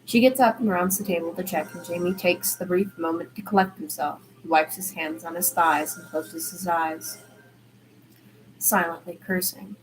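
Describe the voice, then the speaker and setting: Woman, slightly low voice, story narration, halting delivery with restarts, faint noise in a home recording. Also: steady tone, low-quality recording. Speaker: fan fiction reader. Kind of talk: audiobook-style narration of a story.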